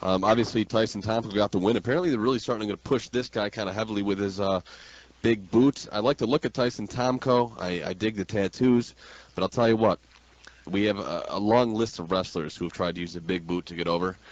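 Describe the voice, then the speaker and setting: A man's voice: on the low side, a little fast, dry recording, muffled recording. A man hosting a casual wrestling talk show, speaking informally with joking, sarcastic exaggeration. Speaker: radio show host.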